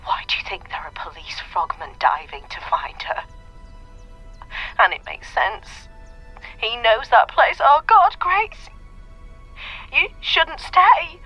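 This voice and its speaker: An actress playing an anguished, loyal friend in a radio drama, halting and pleading. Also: female voice, on the high side, wildly swooping pitch, sharp tone, husky, lo-fi sound, soft background music, exaggerated voice. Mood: worried, urgent. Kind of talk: naturalistic radio drama acting.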